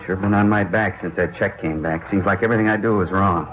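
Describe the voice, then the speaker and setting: An actor playing a worried store worker, fretful and hangdog, in a 1950s radio drama on a muffled vintage recording. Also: male voice, low-pitched, fairly even intonation, quick pace, natural voice. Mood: glum, anxious. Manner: fretful, hangdog, muttering about his troubles.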